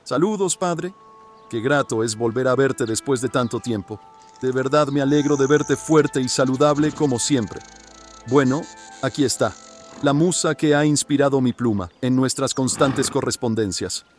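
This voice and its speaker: An actor playing a devoted young son, formal, eager and affectionate, in a dramatized horror audiobook. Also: male voice, medium pitch, normal speed, soft background music, close to an everyday voice. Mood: happy, proud. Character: devoted young son, courteous newlywed writer.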